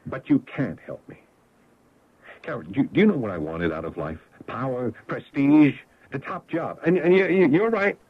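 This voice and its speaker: An actor playing a middle-aged ex-husband in a radio drama, desperate and pleading, stammering with emotion. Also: male voice, mid-range voice, animated, normal speed, slightly rough, no echo. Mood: bitter, desperate. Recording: tinny, band-limited radio drama recording.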